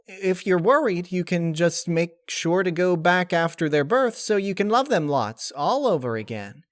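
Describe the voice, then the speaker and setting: A man's voice: high-pitched, animated, normal speed, no echo, clean studio sound, pitched above the performer's own voice. A man voicing a bubbly, carefree young female pony spirit in a story reading, with perky, gushing delivery. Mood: breezy, reassuring. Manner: perky, gushing, childlike phrasing.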